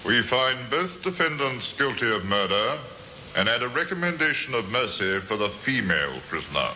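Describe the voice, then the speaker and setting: A man's voice: medium pitch, very animated, normal speed, natural voice. Actor as formal male jury foreman, lilting. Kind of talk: 1950s radio drama acting. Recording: very noisy, tinny old radio recording.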